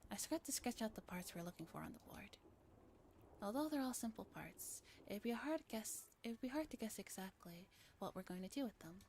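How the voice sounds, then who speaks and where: Soft-spoken woman reading a story aloud. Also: medium pitch, expressive, brisk, slight background noise. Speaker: streamer reading a visual novel aloud.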